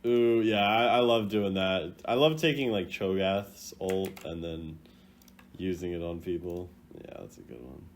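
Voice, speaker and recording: A young man giving casual, playful reaction commentary as a gaming video host, jumping between loud exclamations and low mutters. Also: a little slow, a little hiss or hum. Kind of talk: casual reaction commentary ranking game voice lines.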